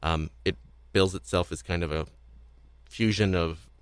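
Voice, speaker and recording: A man's voice: deep voice, steady tone, a little slow, smooth, no room sound. A man hosting a casual podcast in a rambling, hesitant way, often repeating himself. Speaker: podcast host.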